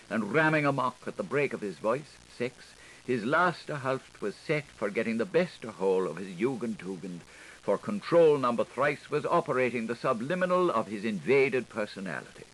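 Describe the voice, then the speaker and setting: A man narrates dense literary prose with theatrical flair. His mid-pitched voice rises and falls in a very lively way, playing rhythmically with the words. There is a faint hiss, as on an older studio recording. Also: normal speed, low-quality recording. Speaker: audiobook reader.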